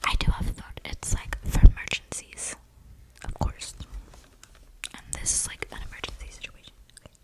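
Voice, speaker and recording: A woman playing an eccentric, flirty female vampire in a whispered ASMR role-play, rambling casually in breathy slang with audible breaths close to the mic. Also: moderate pace, natural voice, rough recording. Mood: chummy, conspiratorial. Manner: slangy, gossipy, conspiratorial, rambling. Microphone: close ASMR microphone.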